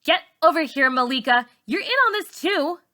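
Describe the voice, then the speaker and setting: A woman storyteller puts on a mean schoolgirl bully, high-pitched and hard-edged. She is bossy and taunting, throwing out threats with loud force, as in a dramatic storybook reading. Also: animated, normal speed. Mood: commanding, taunting. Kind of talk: dramatic audiobook character voice.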